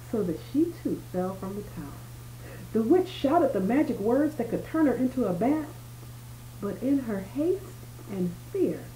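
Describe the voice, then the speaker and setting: A woman's voice: high-pitched, mellow. A woman reading a bedtime story aloud expressively, with small explanatory asides as if to a child. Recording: roomy home recording with faint noise.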